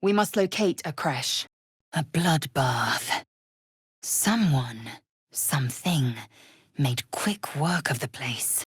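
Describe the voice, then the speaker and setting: A woman playing a proud, fierce githyanki warrior in a video game, with a husky, raspy voice and a clipped, formal, commanding way of talking. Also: deep voice, animated, slow, pauses often, no echo, clean studio sound, full character voice. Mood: hushed, grave. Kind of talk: video game character voice lines.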